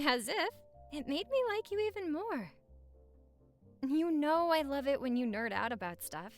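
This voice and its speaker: High, lively, playful young wife played by a woman. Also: a little slow, soft background music. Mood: tender, teasing.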